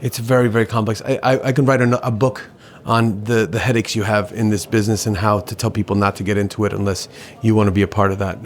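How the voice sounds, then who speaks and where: A man's voice: lowish voice, little pitch movement, slight background noise. A man in his forties, a restaurant owner, talking reflectively in an interview, often restarting and repeating words. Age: 40s. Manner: halting, repeats words, philosophical. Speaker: restaurateur guest.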